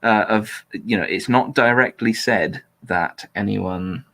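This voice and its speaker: A man talking casually through politics, in a measured, questioning way that hedges his claims. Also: fairly low pitch, gravelly, sharp tone. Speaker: host discussing a political transcript. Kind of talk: casual conversational political discussion.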